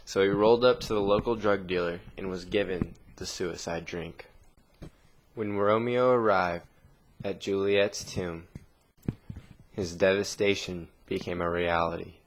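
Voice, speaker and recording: A man reading a scripted story narration with dramatic pauses, in a noisy amateur recording. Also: sits low, steady tone.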